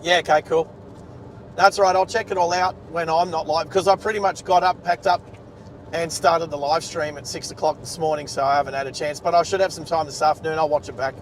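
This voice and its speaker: A man's voice: fairly even intonation, a little fast, low-quality recording. An Australian man chatting casually and ramblingly as he hosts a livestream from inside a moving car. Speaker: livestream host, driver. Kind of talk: casual chat. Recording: car rumble and road noise.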